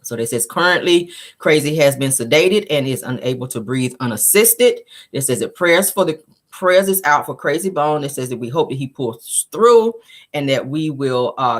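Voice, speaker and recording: Low voiced woman doing casual gossip news commentary, slangy, chatting right to her viewers. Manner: informal, slangy, addressing viewers as guys and honey. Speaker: host of a celebrity gossip news show.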